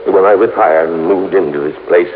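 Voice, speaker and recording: An actor in an old radio drama playing an eccentric, boastful older recluse who speaks in halting, repetitive, excitable bursts with mumbled hums. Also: male voice, sits low, close to an everyday voice. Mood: proud, obsessive. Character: eccentric reclusive retired horror-film maker, squat castle owner. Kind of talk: 1950s radio drama acting. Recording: muffled, noisy old radio recording.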